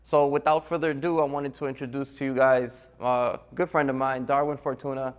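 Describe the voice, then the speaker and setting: A man's voice: mid-range voice, level tone, fairly quick. A man hosting a course interview, introducing his guest and putting questions in a conversational way. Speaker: host, course presenter. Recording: echoey hall-like reverb.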